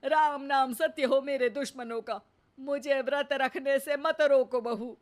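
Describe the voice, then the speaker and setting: A woman's voice: high voice, steady tone, a little slow. A woman voicing a stubborn, devout, bossy elderly mother-in-law in a cartoon moral story, with dramatic scolding. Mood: devout, insistent.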